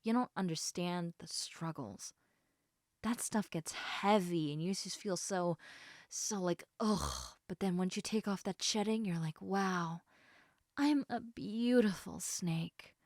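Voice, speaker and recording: A woman voicing an affectionate, teasing half-snake lamia in a casual, intimate audio roleplay, chatting informally with halting, trailing thoughts. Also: fairly low pitch, darkish tone, no echo, clean studio sound. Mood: mildly exasperated.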